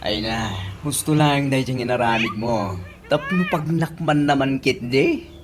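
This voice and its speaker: A woman's voice: rumbling low, unhurried. A woman with an Ilocano accent playing a blunt, teasing, camp village friend in a comedy radio drama, sassy and reproving. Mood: amused teasing. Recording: noisy home-made radio drama recording.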